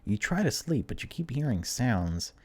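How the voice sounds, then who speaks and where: A man's voice: mid-range voice, big pitch swings, moderate pace, breathy edge. A man hosting a gaming video, reading on-screen story text aloud in a casual way and adding his own informal reactions. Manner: informal, reacts aloud between read passages. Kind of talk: casual let's play, reading game text aloud with commentary.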